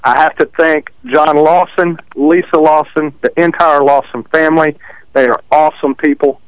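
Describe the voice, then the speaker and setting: Texan drag racer guest, country accent, easygoing and self-deprecating, slightly high voice, dry sound. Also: male voice, steady tone, normal speed, smooth, dry recording, muffled recording. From Texas. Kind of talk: casual interview chat. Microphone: phone call.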